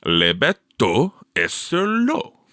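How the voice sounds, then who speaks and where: A man's voice: medium pitch, wildly swooping pitch, takes it slowly, sharp tone, no echo, studio quality, voice pushed up, full character voice. A man voicing a male French teacher in a story, singing out phrases in an instructive way. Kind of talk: audiobook character voice.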